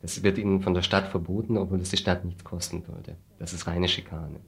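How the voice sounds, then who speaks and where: Very deep-voiced man, flat delivery. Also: fairly quick, creaky, husky. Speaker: interview guest, refugee aid activist. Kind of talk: radio interview, earnest explaining.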